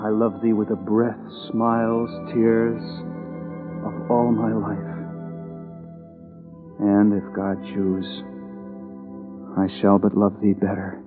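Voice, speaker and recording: Anguished husband in a tinny radio drama. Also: male voice, on the low side, monotone, takes it slowly, long pauses. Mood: tender, halting. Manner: intense, emotionally pleading. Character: proud, anguished husband of an invalid wife. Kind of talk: 1940s radio thriller acting. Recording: tinny old radio recording, music behind.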